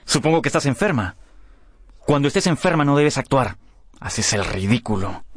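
An actor playing a cruel, anguished young gentleman in a radio drama, with a theatrical, scornful delivery. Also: mid-range voice, big pitch swings, moderate pace, no room sound, clean studio sound. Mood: cold, scornful.

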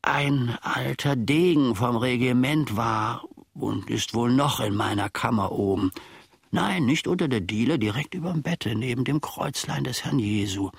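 An actor playing a melancholy, self-mocking old manservant in a radio play monologue, ruminating haltingly with long dramatic pauses. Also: male voice, on the low side, gravelly, breathy edge, no echo, studio quality. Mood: wry reminiscing. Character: melancholy, wryly self-mocking old manservant looking back on his life.

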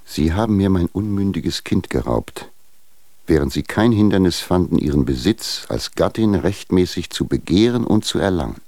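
An actor playing a stern, formal patriarch, delivering measured, reproachful letters in a German radio drama. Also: male voice, deep voice, animated, dark tone, no room sound. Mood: accusing. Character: stern, formal patriarch admonishing a younger husband.

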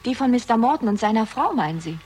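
A woman's voice: fairly high pitch, very animated, fast talker, a little mellow, breathy edge. An actress playing a forthright, sceptical young small-town woman, direct and questioning, in a 1960s German radio crime drama. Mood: puzzled, probing. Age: young adult.

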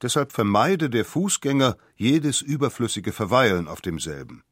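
A man's voice: mid-range voice, big pitch swings, normal speed, breathy edge, dry recording, clean studio sound. A man giving a formal scripted reading of quotations in an audio documentary.

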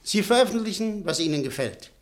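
A shrewd businessman in a German radio play. His voice sits a little high for a man. He is measured and courteous, with composed authority. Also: animated. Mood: businesslike.

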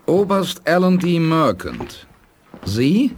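Brisk, lively-voiced male commander. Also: fairly high pitch, a little hiss or hum. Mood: curt, wary. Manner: curt, questioning. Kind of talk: 1980s German radio play acting.

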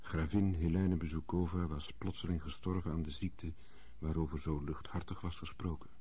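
An actor playing the sober narrator of a historical radio drama, with a husky voice and scripted delivery. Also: male voice, very deep voice, fairly even intonation, normal speed, warm tone, lo-fi sound. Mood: grave.

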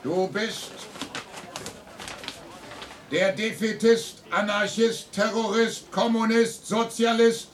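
A man's voice: high-pitched, fairly even intonation, slow, pauses often. An actor in a radio drama playing an officious, bullying royal henchman with a curt, barking manner, heard off-mic. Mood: accusing. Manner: curt, barking, chanting accusations. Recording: off-mic, distant voice.

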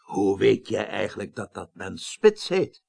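Articulate official with swooping intonation. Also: male voice, lowish voice, sing-song, unhurried, creaky, a little mellow, slight background noise, exaggerated voice. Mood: curious, affable. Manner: articulate, formal. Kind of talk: Dutch radio drama acting.

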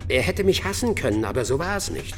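A man's voice: medium pitch, sing-song, fast, a bit bright, big-room sound. An actor playing a defensive, bitter middle-aged bar owner in a German crime radio play, evasive and coarse as he justifies himself under questioning. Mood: guarded, bitter. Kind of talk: German radio drama acting.

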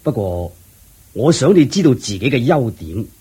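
An actor playing an elderly, generous lord in a radio drama, speaking in a slow, measured, approving way with a Hong Kong Cantonese accent. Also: male voice, mid-range voice, very animated, a little mellow, breathy edge. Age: older. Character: elderly kindly male lord, generous father-in-law.

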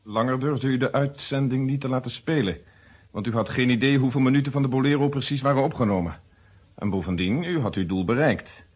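An actor in a radio play voicing a shrewd, methodical police inspector, speaking in a measured, formal and articulate way. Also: male voice, medium pitch, a little hiss or hum, lightly acted. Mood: coolly accusing. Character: male police inspector, shrewd, methodical, quietly triumphant accuser. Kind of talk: Dutch radio drama acting, formal deduction. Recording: dull, muffled old radio recording.

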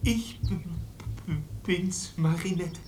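An actress playing an anxious runaway seeking shelter in a radio drama, pleading haltingly with a heavy stammer. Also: female voice, lowish voice, very noisy. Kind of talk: German radio drama acting.

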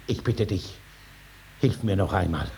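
An actor playing a desperate farmer in a 1950s German radio drama, imploring and halting as he pleads for help. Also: deep voice, expressive, darkish tone. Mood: anxious pleading. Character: desperate, pleading male farmer losing his grip. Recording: noisy 1950s radio recording.

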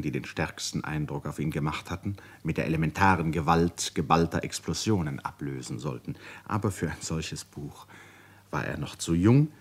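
A man with a husky voice giving measured, serious literary narration for a radio drama. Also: very deep voice.

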